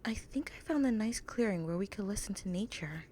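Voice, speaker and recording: A woman voicing a gentle, affectionate young female bat pony with a guilty streak, in a soft, breathy, hesitant voice for a fan fiction story. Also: medium pitch, expressive, fairly quick, slight background noise, natural voice. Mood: tender, reflective.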